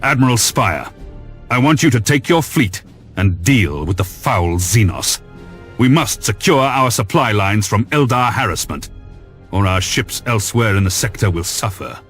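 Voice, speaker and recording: Low-voiced, stern lord admiral. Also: male voice, expressive, unhurried, a bit bright, breathy edge, faint music behind, full character voice. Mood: stern briefing. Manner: formal, military, commanding. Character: authoritative, stern naval lord admiral giving briefings.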